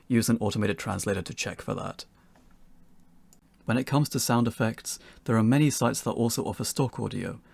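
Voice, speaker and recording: A man's voice: fairly low pitch, gravelly, darkish tone, no room sound. A man presenting a scripted tutorial as a narrator.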